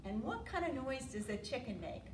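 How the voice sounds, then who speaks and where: An American woman leading an interactive storytime for preschoolers, asking simple questions and drawing out key words in an animated way. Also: high-pitched, brisk. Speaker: children's storytime librarian. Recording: roomy, distant mic, faint room noise.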